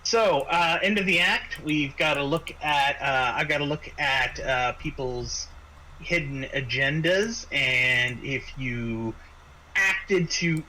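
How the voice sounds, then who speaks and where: A man running a tabletop game as game master, narrating off the cuff in a halting way with repeated words. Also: a little high, sharp tone. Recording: noisy home microphone.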